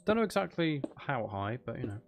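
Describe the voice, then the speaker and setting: Creaky-voiced man musing over a game. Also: mid-range voice, very animated, brisk, background noise. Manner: musing, thinking aloud to viewers. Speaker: game streamer. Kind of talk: casual livestream gaming commentary.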